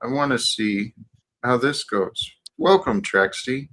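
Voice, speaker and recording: A man hosting a live chat show, calm and polite as he moderates guests. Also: medium pitch, slow pace. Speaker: host. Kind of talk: casual chat.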